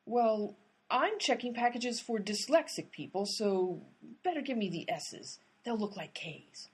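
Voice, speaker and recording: Woman as literal-minded female sleuth, slightly raspy, swooping intonation, earnest, insistent, radio comedy. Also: on the high side, lively intonation, a little slow. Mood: bluffing.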